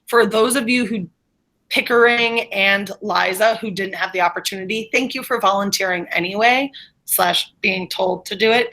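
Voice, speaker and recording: A woman's voice: medium pitch, bright, forceful tone, poor audio. Casual, encouraging woman teaching online. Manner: encouraging, informal, quick asides. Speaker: middle school teacher. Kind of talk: online class instruction. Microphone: video call.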